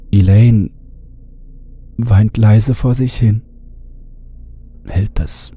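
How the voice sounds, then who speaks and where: Slow, dark-voiced man narrating horror. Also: fairly low pitch, a little hiss or hum. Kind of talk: dramatic scripted horror audiobook narration. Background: music under the voice.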